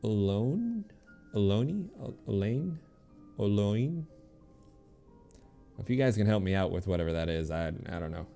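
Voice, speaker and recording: A man's voice: fairly low pitch, very animated, unhurried, gravelly, long pauses, mellow, faint music behind. A man streaming a game, reading its text aloud with casual asides to his viewers. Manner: stumbles over names and corrects himself.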